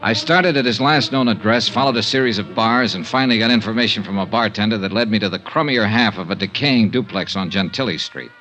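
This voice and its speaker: A man's voice: on the low side, fast talker, few pauses, pushed and bright, soft background music. An American actor playing a blunt, world-weary male insurance investigator in a 1950s radio drama, both narrating and speaking in scenes. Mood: wry narration. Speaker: lead actor and narrator of a radio detective serial. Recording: dull band-limited 1950s radio recording.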